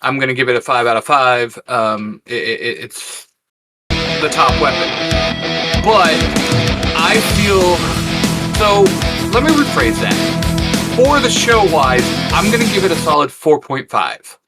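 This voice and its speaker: A man's voice: a little high, expressive, takes it slowly, over loud music. A man co-hosting a casual review, speaking in an emphatic, opinionated, conversational way. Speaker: co-host reviewer.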